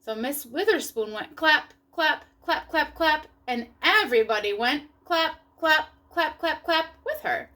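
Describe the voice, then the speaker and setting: A woman narrates a children's storybook aloud. Her voice is high and bright, and it moves through a wide, animated range of pitch. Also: measured pace, hardly any pauses. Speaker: storybook reader.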